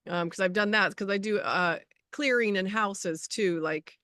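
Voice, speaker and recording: A middle-aged woman talks casually, wandering through personal anecdotes as she goes. Her voice is smooth and clean, at an ordinary pitch for a woman, and the sound is dry with almost no room in it. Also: unhurried, sharp tone, no room sound. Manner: rambling, anecdotal storytelling. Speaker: co-host of a spiritual healing talk show. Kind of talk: casual conversational chat.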